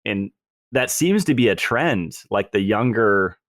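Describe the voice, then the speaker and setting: A man hosts a casual podcast interview, his voice sitting somewhat low. He talks in a relaxed way and laughs easily between remarks, and the recording sounds dry and close, with no room echo. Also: unhurried. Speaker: podcast host.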